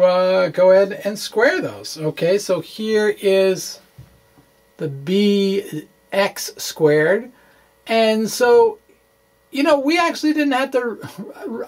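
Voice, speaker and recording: Man talking through math, halting and informal. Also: high-pitched. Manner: halting, self-correcting, thinking aloud. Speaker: physics explainer and blogger. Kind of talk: informal math walkthrough, reading and ad-libbing.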